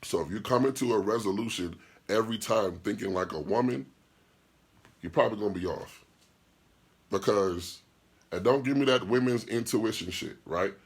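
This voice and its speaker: A man hosting a podcast and talking as a DJ, with a deep voice, speaking bluntly and profanely in an opinionated monologue, firing rhetorical questions and answering live chat comments. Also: moderate pace, pauses often, breathy edge. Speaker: podcast host and DJ.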